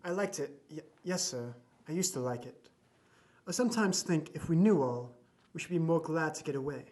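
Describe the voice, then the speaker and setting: A man's voice: a little high, normal speed, a little mellow, close to an everyday voice. A man playing a dying old doctor in an audio drama, formal and emphatic, bitter and weary of life. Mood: resigned, weary.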